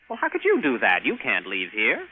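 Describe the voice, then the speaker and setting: A man playing an agitated, insistent scientist in a 1950s radio drama, questioning in an emphatic, expressive way. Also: medium pitch, moderate pace, husky, phone-quality sound. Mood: agitated, demanding. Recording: thin vintage radio recording with music.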